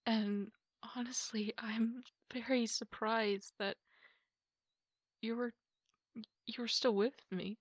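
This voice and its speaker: An apologetic girlfriend, acted by a woman, speaks in a fairly high, soft voice. She takes her time, with long halting pauses, in an intimate ASMR roleplay. The sound is dry, with no room around it. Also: fairly even intonation, no room sound. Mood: remorseful, hesitant. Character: apologetic, tearful girlfriend pleading to make up. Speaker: roleplay audio performer.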